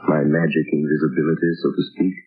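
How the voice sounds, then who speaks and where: An actor in an old radio drama playing a mysterious crime-fighter with hypnotic powers, speaking slowly and ominously. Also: male voice, rumbling low, flat delivery, husky. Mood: mysterious, grave. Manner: slow, ominous, deliberate. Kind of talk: 1930s radio drama acting. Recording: muffled vintage radio recording.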